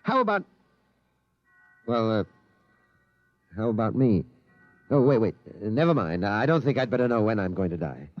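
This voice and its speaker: A man's voice: lowish voice, sing-song, normal speed, pauses often, dry recording, soft background music. An actor playing a cautious middle-aged father in 1950s radio drama, hesitant and incredulous. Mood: troubled. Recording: dull vintage radio recording.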